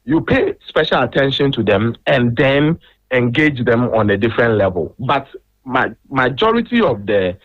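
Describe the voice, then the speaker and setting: A man speaks as a guest on a radio discussion about education. He explains his points in a measured way, his pitch rising and falling a great deal, and he moves between languages as he talks. His voice comes through a dull, lo-fi line. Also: sing-song.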